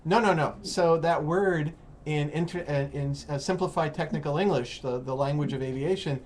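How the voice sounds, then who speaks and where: A man with an American accent hosting a casual interview, explaining in a halting way and rephrasing as he goes. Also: on the high side. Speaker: host of an English-learning call-in program. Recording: noticeable background noise.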